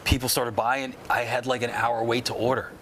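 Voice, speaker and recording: A man sits in a studio as a chef guest, telling his story quickly and excitedly. He piles on fillers as he goes, over a faint room ambience. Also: mid-range voice, a little hiss or hum. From New York. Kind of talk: casual interview chat.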